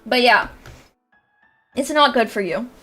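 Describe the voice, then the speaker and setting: A woman's voice: a little high, animated, slow pace, pushed and bright, soft background music. A young woman with a nasal voice, chatting casually and rambling on a livestream, with lots of fillers and laughs. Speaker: VTuber streamer and creator coach.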